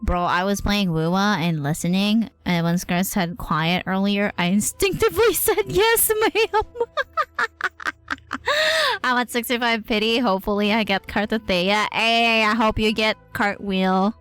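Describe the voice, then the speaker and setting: A woman's voice: medium pitch, very animated, unhurried, clear, resonant tone. A woman livestreamer giving casual, slangy game commentary and reading dialogue aloud. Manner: informal, slangy. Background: soft game music.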